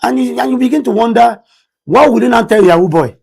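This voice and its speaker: A middle-aged Nigerian man with a Nigerian English accent and a loud voice, talking emphatically in a studio discussion. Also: very high voice, fast talker, creaky, slightly rough. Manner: emphatic, excitable, rises sharply when stressing points. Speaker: discussion panelist.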